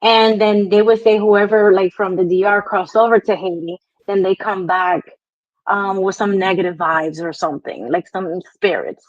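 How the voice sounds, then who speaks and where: A woman's voice: medium pitch, normal speed, no echo. A young woman from Brooklyn with a New York accent and a touch of Spanish, casually telling her life story as an interview guest, laughing easily. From Brooklyn, New York, Dominican descent. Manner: chatty, laughs easily, many fillers. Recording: dull lo-fi video call audio.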